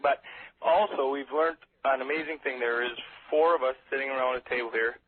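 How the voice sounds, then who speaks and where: A man's voice: mid-range voice, fairly even intonation, brisk, husky, no echo. Nervous Canadian man on a thin phone line. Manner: hesitant, folksy, jokey. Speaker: caller to a radio show. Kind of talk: casual, rambling call-in chat. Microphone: telephone. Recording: thin narrow telephone line.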